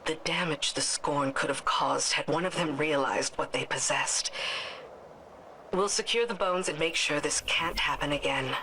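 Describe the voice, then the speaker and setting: An actress playing a composed, steadfast female military leader in a video game, speaking with calm authority. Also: low voice, moderate pace. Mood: solemn. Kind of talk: dramatic video game acting. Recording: clearly noisy ambience behind.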